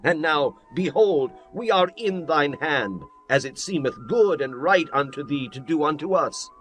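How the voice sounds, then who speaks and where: A man doing a lively-voiced ancient speaker. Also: on the high side, unhurried, faint music behind. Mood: fearful. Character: fearful Gibeonite men, then a scheming king.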